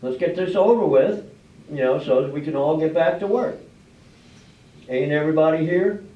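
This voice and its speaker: A man playing a genial, folksy small-town official in an amateur staged reading, with halting homely speech. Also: fairly high pitch, close to an everyday voice. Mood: folksy, hurried. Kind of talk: amateur readers theater stage acting. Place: live play in a public library room. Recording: echoey room, distant mic, dull sound.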